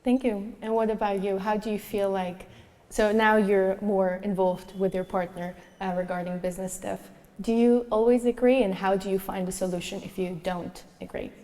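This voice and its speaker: A woman's voice: mid-range voice, fairly even intonation, moderate pace, mellow. Upbeat woman moderator in a hall. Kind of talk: moderating a panel. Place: conference hall on stage. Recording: reverberant conference hall.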